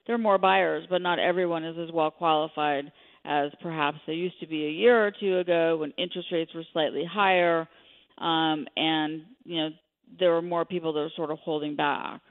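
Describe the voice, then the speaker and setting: A woman's voice: sits low, steady tone, normal speed. A woman speaking as an expert guest in a radio interview, giving commentary on the economy. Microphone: telephone. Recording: narrow, tinny phone line.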